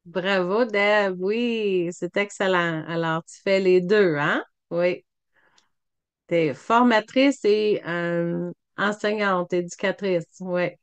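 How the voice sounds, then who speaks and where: A woman's voice: fairly low pitch, slow pace, clear, resonant tone, no echo. A woman leading an online video meeting, handing the floor to each speaker and praising their answers. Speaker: meeting facilitator. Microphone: computer mic on video call.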